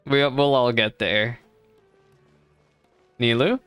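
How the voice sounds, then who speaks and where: A woman's voice: a bass voice, fairly even intonation, slow pace, fairly bright tone. A woman doing casual, chatty live reaction commentary as a gaming streamer, talking back to the characters on a close mic. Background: game music.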